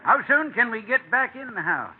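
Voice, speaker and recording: Busy folksy neighbor, animated high-pitched speech, radio comedy, tinny old recording. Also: male voice, moderate pace, slight background noise. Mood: busy, cheerful. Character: brisk, neighborly small-town householder man.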